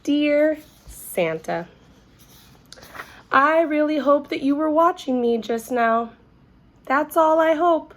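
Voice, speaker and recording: A woman voicing an excitable, earnest little girl telling her own story, with childlike, emphatic phrasing as in an animated storybook reading. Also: high voice, slow, long pauses, voice pushed up, exaggerated voice. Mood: hopeful.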